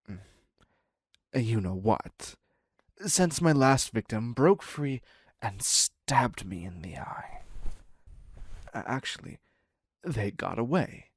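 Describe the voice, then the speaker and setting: Man acting a husky, slow-talking obsessive captor. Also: mid-range voice, dry recording, studio quality, exaggerated voice. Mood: defensive, earnest. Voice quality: husky, breathy, gravelly. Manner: halting, stammering, pleading, slipping into whispers. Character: obsessive male yandere captor, ex-asylum criminal, clingy and needy. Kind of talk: intimate audio roleplay acting.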